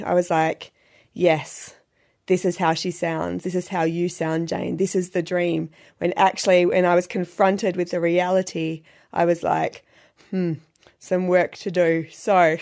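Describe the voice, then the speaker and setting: A middle-aged woman with an Australian accent talks straight to her listeners in a relaxed podcast monologue. Her voice is husky and croaky after an illness, with a slightly nasal edge. She chats in a warm, self-deprecating way and laughs easily. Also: medium pitch. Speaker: podcast host.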